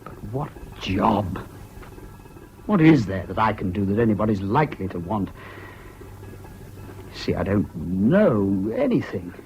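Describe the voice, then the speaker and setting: An actor in a British radio drama playing a troubled, excitable, self-doubting young man with an English accent and an earnest manner. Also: medium pitch, moderate pace, faint music behind, natural voice. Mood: dejected, self-doubting. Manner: hesitant, earnest, swinging between gloom and giddy excitement. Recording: dull, hissy old radio recording.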